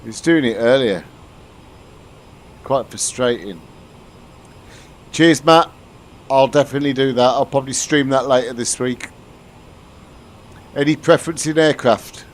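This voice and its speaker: A man's voice: medium pitch, measured pace, pauses often. A man from the UK live-streaming a flight simulator, chatting casually with his viewers and explaining the cockpit as he flies. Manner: chatty, answers viewers, frequent restarts. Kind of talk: live-stream commentary to chat.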